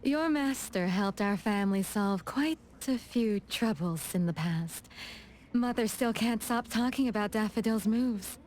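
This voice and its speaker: A woman's voice: mid-range voice, expressive, a little slow, darkish tone, natural voice, rough recording. A woman voicing a poised, gracious young lady in a video game, composed and polite with a lightly teasing touch. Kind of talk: game dialogue acting, storytelling. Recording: music behind the voice.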